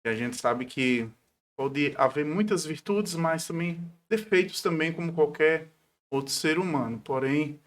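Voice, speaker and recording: A man's voice: a little high, unhurried. A man of about forty, a pastor speaking devoutly as an interview guest, often adding short tag questions. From Paraíba, northeastern Brazil. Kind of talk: devout personal testimony in a conversational interview.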